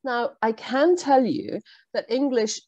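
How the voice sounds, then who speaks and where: A woman's voice: fairly high pitch, animated, darkish tone, no echo, highs cut off. Polish woman giving a teacher workshop. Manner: measured, explanatory, with pauses and fillers. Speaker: teacher trainer. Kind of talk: presentation to teachers.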